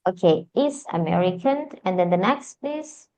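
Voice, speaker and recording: A woman's voice: on the low side, lively intonation, moderate pace, mellow. A woman with an Indonesian accent teaching English in a casual online class, chatty and switching between languages. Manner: casual, chatty, laughs often. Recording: dull lo-fi online call audio.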